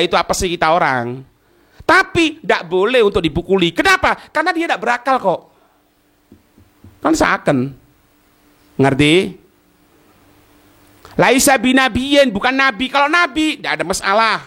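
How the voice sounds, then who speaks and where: High, animated East Javanese male preacher. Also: moderate pace, pauses often. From East Java, Indonesia. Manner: asks and answers own questions, checks listeners understand. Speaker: Islamic preacher and teacher. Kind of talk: informal religious lecture explaining a text line by line.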